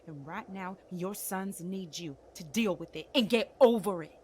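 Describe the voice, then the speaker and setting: An actress playing a stern, grief-hardened survivor in a motion comic drama, forceful and urgent. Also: female voice, on the low side, normal speed, a little hiss or hum, close to an everyday voice.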